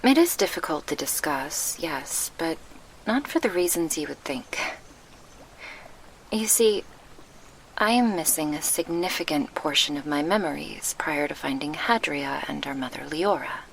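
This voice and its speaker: A woman playing a gentle, wistful succubus guardian in a soft ASMR roleplay, speaking in formal, measured phrases with a breathy murmur, close to the mic. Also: sits low, expressive, slight background noise, exaggerated voice, rough recording. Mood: pained, hesitant. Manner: formal, measured, old-fashioned diction. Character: gentle, wistful female succubus guardian, grieving her lost past. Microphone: close binaural microphone.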